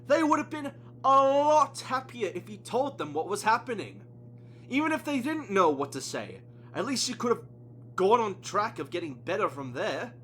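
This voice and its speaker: A stern psychologist in an audio play, voiced by a man, speaking in cutting, deliberate reproach. The voice has a bright edge and taunts coldly. There is faint noise and hum on the recording. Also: sits high, very animated, pitched above the performer's own voice. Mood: reproachful. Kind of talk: amateur audio play acting.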